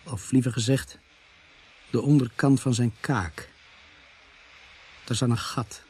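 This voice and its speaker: An actor playing a police constable who narrates a radio drama in a measured, grave way with a husky voice. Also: male voice, mid-range voice, fairly even intonation, a little mellow. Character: male police constable grimly narrating a murder case.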